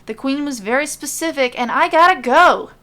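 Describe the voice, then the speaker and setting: A woman's voice: sits high, expressive, moderate pace, sharp tone, voice pushed up. A woman voicing a frantic, flustered male messenger in an audiobook-style fantasy story. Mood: panicked. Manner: exclamatory, flustered.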